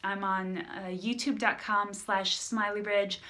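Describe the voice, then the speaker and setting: An energetic woman opens her live improv stream with a bright, sharp voice. She speaks straight to her viewers in an upbeat way. Her pitch sits in the middle for a woman. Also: takes it slowly. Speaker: improv comedy show host.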